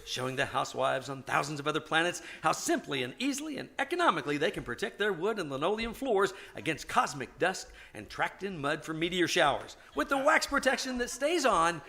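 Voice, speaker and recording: Radio comedy part: male actor as smooth, eager wax salesman, bright delivery, lively pitch, relentlessly promotional. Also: a little fast, few pauses, full character voice. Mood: enthusiastic, glib. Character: enthusiastic, glib adult male floor wax salesman. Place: live stage performance at a winery.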